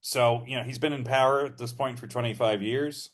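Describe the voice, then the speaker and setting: A man co-hosting a podcast, explaining history in a measured, casual way, thinking aloud with frequent ums and uhs. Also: mid-range voice, fairly even intonation.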